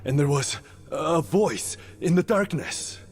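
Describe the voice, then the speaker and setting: An actor playing a loyal veteran warrior seneschal in a video game, solemn, formal and weighty in delivery. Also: male voice, a little high, sing-song, takes it slowly, slight background noise, full character voice. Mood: grave, resolute.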